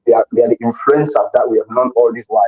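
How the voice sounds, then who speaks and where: A man's voice: mid-range voice, fairly even intonation, rapid-fire, darkish tone. A man, answering interview questions in an earnest, casual way, often repeating words as he thinks. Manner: earnest, repeats himself while searching for words. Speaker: interview guest. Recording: dull lo-fi recording.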